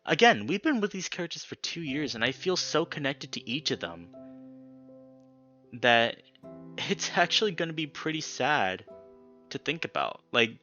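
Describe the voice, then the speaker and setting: A male let's play host talks casually and emphatically about a game, leaving dramatic pauses and swearing now and then. His voice is bright and forceful at a medium pitch. The mic sound is a little dull, with guitar music faintly behind him. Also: sing-song.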